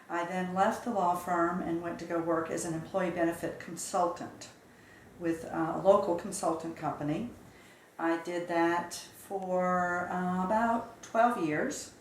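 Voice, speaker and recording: Woman in her 50s from south carolina telling her life story, kinda low voice, measured and thoughtful, roomy echo and faint hiss. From Charleston, South Carolina. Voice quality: measured, reflective, with occasional fillers. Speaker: interview guest. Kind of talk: recounting life story in an interview.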